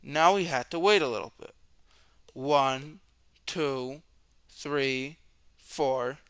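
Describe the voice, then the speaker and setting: A man reading a children's storybook aloud as a storyteller, with expressive narration, dramatic questions and drawn-out words for young listeners. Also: medium pitch, clear, resonant tone, no room sound, clean studio sound.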